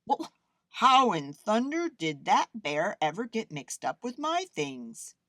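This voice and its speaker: Woman playing an expressive, musing young male artist. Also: on the low side, measured pace, no room sound, studio quality, exaggerated voice. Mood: puzzled. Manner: musing, with hums and exclamations. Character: young male artist, thoughtful, kindly and easily inspired.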